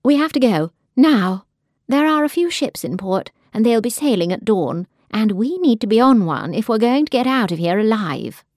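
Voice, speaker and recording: A woman voicing a brisk, bossy young woman taking charge in a crisis. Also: fairly high pitch, big pitch swings, darkish tone, dry recording, studio quality. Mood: commanding. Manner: brisk, commanding.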